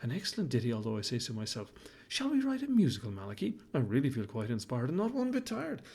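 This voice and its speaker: A man's voice: mid-range voice, huge pitch swings, fast talker, creaky, a little mellow, breathy edge, exaggerated voice. A man voicing a flamboyant, fashion-mad male baboon who talks in pompous, theatrical rhymes. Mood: grandiose. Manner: pompous, sing-song rhyming, fussy and dramatic. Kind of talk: storybook character voice.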